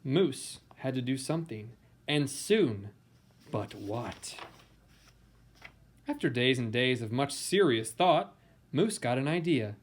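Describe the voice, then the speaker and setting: A man's voice: mid-range voice, animated. Theatrical man reading a children's storybook. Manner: theatrical, playful, dramatic emphasis and pauses. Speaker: storybook reader. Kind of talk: expressive read-aloud of a children's picture book.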